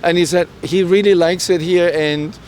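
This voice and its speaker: Middle-aged man, German accent, native German speaker, fairly high voice, earnest halting storytelling, interview guest, outdoor city recording with some traffic noise. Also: fairly even intonation, darkish tone. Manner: halting, earnest delivery with self-quoted dialogue. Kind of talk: personal storytelling in a conversational interview.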